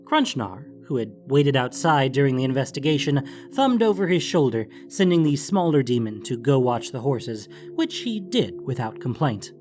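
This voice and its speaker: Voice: medium pitch, animated, moderate pace, few pauses, a little mellow, faint music behind. A narrator reading a scripted fantasy story for an audiobook.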